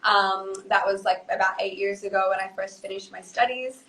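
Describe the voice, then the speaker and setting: A woman in her thirties, who grew up in Indonesia, speaks as a guest psychotherapist on a live video call, thoughtful, with many fillers and soft laughs. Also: medium pitch, fairly even intonation, moderate pace. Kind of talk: casual live video chat. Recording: roomy echo.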